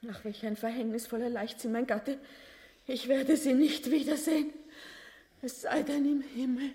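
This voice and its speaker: A woman's voice: sits high, fairly even intonation, moderate pace, dark tone, lightly acted. An actress playing an anxious queen and mother in a grotesque comedy, theatrical and lamenting in courtly phrases. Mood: mournful. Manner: theatrical, lamenting, old-fashioned courtly speech.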